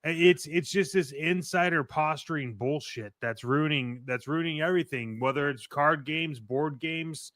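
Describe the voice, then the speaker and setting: Male podcast co-host, slightly high voice, dry sound. Blunt, profane, argumentative, casual. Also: unhurried, few pauses, a bit bright, no echo.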